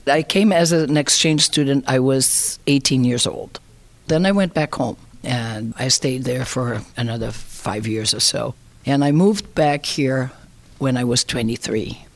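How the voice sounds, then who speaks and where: A woman's voice: deep voice, no echo. A woman in her sixties from Brazil, with a Brazilian accent, telling personal stories in a reflective, conversational way as an interviewee. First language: Portuguese. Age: 60s. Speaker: interviewee, immigrant.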